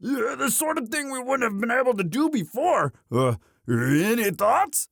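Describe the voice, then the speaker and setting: A man voicing a bashful, devoted himbo orc boyfriend in a romantic audio roleplay, halting and stammering sheepishly. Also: extremely high pitch, very animated, gravelly, a bit bright, no echo, studio quality, full character voice. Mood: sheepish, eager.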